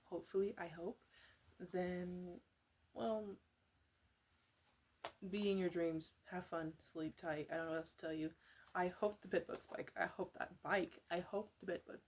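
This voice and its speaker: A woman's voice: on the low side, slight background noise. A woman talking casually as an ASMR creator, rambling in an informal, goofy way and repeating herself.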